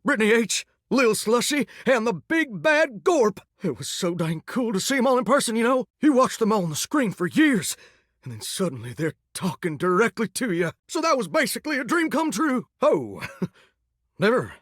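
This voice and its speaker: A flamboyant male shower spirit from a video game, who croons and drawls with country flair. His voice sits high and leaps across a wide, lively range. It sounds close and dry, with no room around it. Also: normal speed, dry recording, heavily acted. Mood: folksy, chatty. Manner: folksy, theatrical, crooning drawl. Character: vain, melodramatic male shower spirit, aspiring singer. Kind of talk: video game character dialogue.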